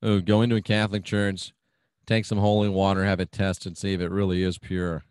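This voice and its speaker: A man's voice: sits low, little pitch movement, a little fast, a little mellow, clean tone, no echo, studio quality. A man hosting his own radio show, chatting casually and reading out listener comments in a folksy, agreeable way. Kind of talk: casual talk reading out live chat comments.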